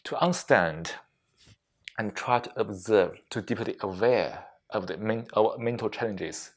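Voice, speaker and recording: A man with a fairly deep voice gives a reflective mindfulness lesson. He talks deliberately, setting out short phrases and leaving frequent pauses between them. His wording is that of a non-native English speaker, with small slips in grammar. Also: lively intonation. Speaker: meditation teacher giving a workplace session. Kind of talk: slow, reflective mindfulness talk to a group.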